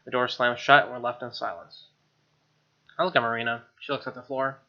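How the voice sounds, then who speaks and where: Casting: young male gamer, bright forceful voice, slangy and exasperated, narrating a playthrough on a muffled mic. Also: medium pitch, flat delivery, a little fast. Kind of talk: casual commentary and read-aloud narration. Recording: dull lo-fi mic, music underneath. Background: game music.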